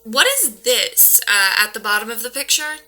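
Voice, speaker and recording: A woman's voice: fairly high pitch, moderate pace, pushed and bright, slight background noise. A woman playing a skeptical, level-headed paranormal investigator in an audio drama, dry and matter-of-fact. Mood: uneasy. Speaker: actor in an audio play. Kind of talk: modern naturalistic audio drama.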